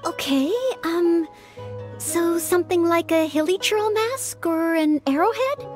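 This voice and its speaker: A woman's voice: extremely high pitch, unhurried. An actress voicing an earnest young maid-knight girl in a video game, polite, dutiful and eager to help. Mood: hesitant, polite. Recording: music under the voice.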